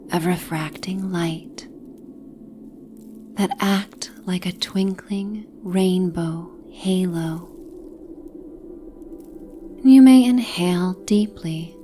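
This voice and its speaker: A woman with a soft, breathy, near-whispered voice gently narrating a soothing guided sleep meditation and bedtime story. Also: fairly low pitch. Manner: gentle, soothing, hypnotic, with long pauses.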